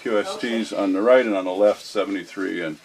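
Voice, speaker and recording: A man giving an informal guided tour as a library guide, explaining things methodically in a halting way. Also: fairly low pitch, a little mellow, poor audio.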